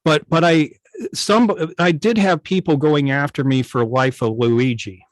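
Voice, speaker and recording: A man giving hesitant, measured answers as the guest in a casual interview. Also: on the high side, no room sound. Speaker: guest, old-time radio station operator. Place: indoors on a video call.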